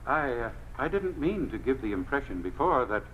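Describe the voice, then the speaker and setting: Anxious, ingratiating underling, animated pitch. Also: male voice, normal speed. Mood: anxious, apologetic. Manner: hesitant, careful. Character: anxious, ingratiating male municipal subordinate. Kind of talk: 1950s radio drama acting. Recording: muffled, noisy old radio recording.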